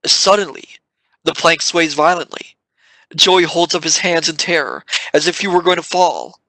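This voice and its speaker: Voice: medium pitch, lively intonation, normal speed, sharp tone, no echo, low-quality recording. A narrator reading the stage directions of a live amateur radio play in a dramatic way, stressing sudden events.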